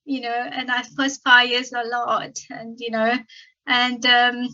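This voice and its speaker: A woman's voice: fairly high pitch, monotone, sharp tone. A woman in her fifties from Malaysia with a Malaysian accent, whose first language is Tamil, giving a personal conference talk with many ums and stuttering blocks. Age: 50s. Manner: frequent ums, slow careful phrasing with stuttering blocks. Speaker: conference speaker. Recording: dull lo-fi sound.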